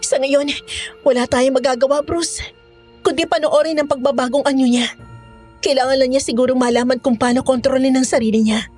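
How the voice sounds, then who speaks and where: Woman playing an urgent high-voiced female monster hunter. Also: fairly even intonation, brisk, a little mellow, faint music behind. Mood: grave, urgent. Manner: urgent, dramatic. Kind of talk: Tagalog horror audio drama acting.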